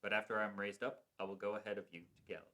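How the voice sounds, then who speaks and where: Jesus read by a man, solemn, measured, low, bright tone. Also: level tone. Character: Jesus, calm solemn male teacher. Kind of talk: amateur church passion play reading.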